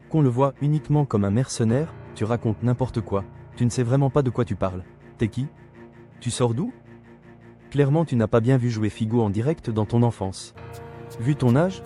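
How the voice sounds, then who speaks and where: A middle-aged man talks straight at the listener in a combative, sarcastic rebuttal, lecturing as if to a younger rival. His voice is mellow and dark, pitched in an ordinary middle range. Faint music plays underneath. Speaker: video commentator. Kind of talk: scripted rebuttal monologue.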